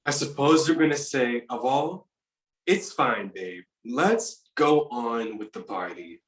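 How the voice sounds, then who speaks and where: A man's voice: medium pitch, animated, slow pace, a bit bright, echoey room, low-quality recording. A man reading the part of a laid-back teenage boy at a party during a table read. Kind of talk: table read of a teen drama.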